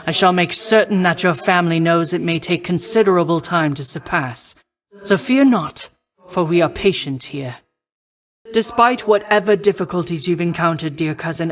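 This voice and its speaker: A woman playing a noble, protective war goddess in a video game, speaking in formal, measured, old-fashioned phrasing. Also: lowish voice, close to an everyday voice. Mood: warm, reassuring. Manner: formal, measured, archaic stately diction. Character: noble female war goddess, poised, protective, confident mentor. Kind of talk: scripted video game voice acting.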